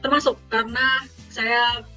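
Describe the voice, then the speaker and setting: This is a young woman in her twenties, from Tegal in Central Java, answering interview questions. Her voice is slightly high and she speaks calmly and plainly, with a touch of formality. Soft music plays in the background. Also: unhurried, poor audio. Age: 20s.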